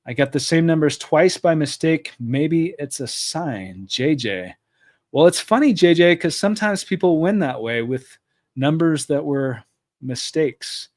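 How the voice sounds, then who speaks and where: A man's voice: on the high side, lively intonation, measured pace. A middle-aged man hosting a live stream, reading viewer comments aloud and answering them in a friendly, thinking-aloud way. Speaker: podcast host reading live chat.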